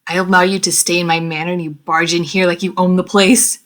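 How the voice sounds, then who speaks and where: A woman voicing a refined, proud lady vampire who is easily flustered, speaking formally and with measured care in an audio roleplay. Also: fairly low pitch, little pitch movement, a bit bright, close to an everyday voice. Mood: indignant. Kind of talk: scripted audio roleplay addressed to the listener.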